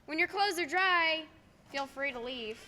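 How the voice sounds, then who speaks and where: Casting: young woman playing folksy, suspicious tollkeeper, high and animated, radio drama, echoey hall. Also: measured pace, full character voice. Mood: startled, wary. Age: teens to young adult. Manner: folksy, blunt. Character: blunt, folksy, suspicious toll booth keeper. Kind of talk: stage radio play acting.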